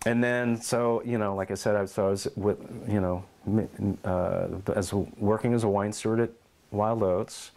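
A middle-aged man in an oral history interview at his home, telling lively stories and acting out quotes. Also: on the low side, normal speed. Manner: animated storyteller, acts out quotes and meows.

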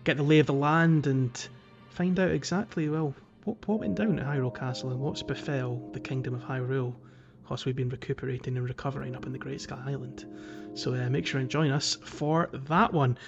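A man's voice: mid-range voice, steady tone, fairly quick, gravelly, muffled recording, music in the background. A man co-hosting a casual gaming podcast, thinking aloud with some hesitation as he recaps. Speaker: podcast co-host.

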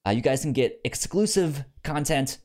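A man chatting casually as a podcast host. His pitch moves around a great deal, and he often restarts words and phrases in a halting, conversational way. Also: animated, a little mellow.

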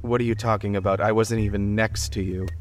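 A woman's voice: rumbling low, steady tone, fairly quick, faint music behind. A woman playing a serious, exasperated researcher in an audio drama, stern and intense. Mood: baffled.

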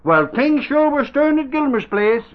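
An actor playing an excitable, folksy, blustering male newspaper reporter in an old-time radio drama. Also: very high voice, moderate pace. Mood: excited, urgent. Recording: muffled old radio broadcast.

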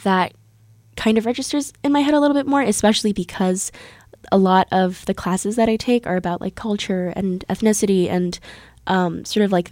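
A woman's voice: medium pitch, a little mellow, no room sound, studio quality. A young American woman hosting a podcast, telling a story in a chatty, casual way, full of fillers and restarts. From United States. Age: young adult. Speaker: podcast host. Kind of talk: casual bilingual monologue, Korean then English.

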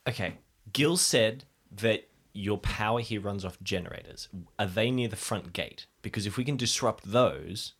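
A man's voice: on the low side, normal speed, hardly any pauses, a bit bright, slightly rough. A man playing an eager, flustered park ranger in an improvised audio drama, earnest and prone to repeating himself. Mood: flustered, planning.

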